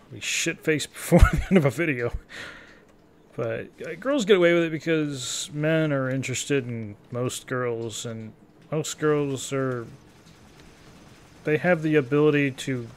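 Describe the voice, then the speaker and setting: A man's voice: mid-range voice, a bit bright, a little hiss or hum. A man in his thirties giving casual, rambling, self-deprecating live gameplay commentary, often wandering off on tangents. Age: 30s. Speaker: gaming video commentator.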